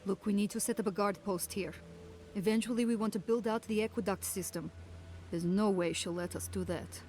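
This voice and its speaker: A woman's voice: medium pitch, fairly even intonation, a little fast, darkish tone, a little hiss or hum, close to an everyday voice. Actress as a firm, worried woman settlement leader. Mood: worried, resolute. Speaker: community leader. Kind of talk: naturalistic video game dialogue.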